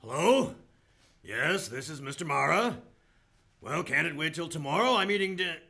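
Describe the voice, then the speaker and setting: Man acting a sharp, gravelly, brisk prosecutor. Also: fairly high pitch, animated, a little hiss or hum, heavily acted. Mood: irritated. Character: brisk, self-assured male prosecutor, easily exasperated. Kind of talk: stage-like radio play acting.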